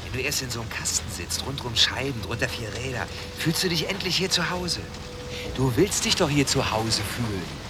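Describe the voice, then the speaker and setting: Amid clear street noise, a brash West German man needles his listener, played by an actor. His voice is mid-range and his delivery casual and goading, as in a radio drama. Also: mid-range voice, animated, moderate pace, lightly acted. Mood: goading, breezy. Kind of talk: German radio play acting. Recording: noisy urban outdoor ambience.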